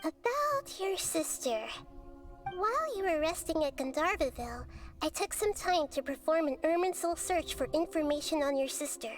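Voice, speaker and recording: Video game casting: young girl goddess of wisdom, high-pitched, composed, measured, articulate, over music. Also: animated. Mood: calm, grave. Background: background music.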